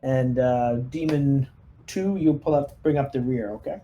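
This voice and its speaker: A man with a soft, mellow voice at a middling pitch leads a tabletop game group in casual, organizing talk. The online voice chat recording has clear background noise. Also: measured pace, muffled recording. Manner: takes charge, organizing and directing the group. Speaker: player leading the squad in a tabletop roleplaying game.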